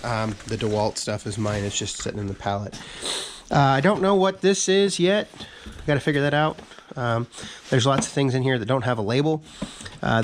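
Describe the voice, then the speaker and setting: A man hosting a casual update video, talking in a relaxed, rambling way with many fillers and restarts, his voice a bit raspy from a cold, in a workshop full of parts. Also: mid-range voice, a little hiss or hum. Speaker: channel host.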